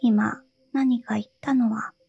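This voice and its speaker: A woman voicing a tearful, pleading young princess in a soft whisper for a storybook reading. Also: fairly high pitch, steady tone, takes it slowly, creaky, husky, muffled recording, heavily acted.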